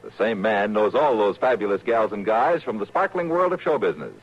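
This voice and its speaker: A man's voice: lowish voice, expressive, fairly quick, husky. An American man reading a scripted 1950s radio promo as announcer, playful and showy. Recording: muffled old radio broadcast, music behind.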